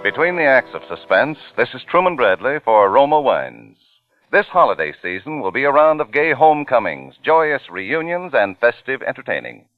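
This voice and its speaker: Lively-toned vintage male radio pitchman. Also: dry recording, soft background music. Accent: American. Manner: polished, measured, emphatic salesmanship. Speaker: commercial announcer. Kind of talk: 1940s radio ad read. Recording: narrow-band old radio recording, dry.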